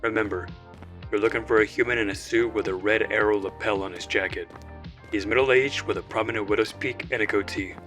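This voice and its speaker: An actor playing a serious mission commander giving terse instructions in an audio drama. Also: male voice, lowish voice, steady tone, fairly quick, a bit bright, soft background music, lightly acted. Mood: serious, instructing.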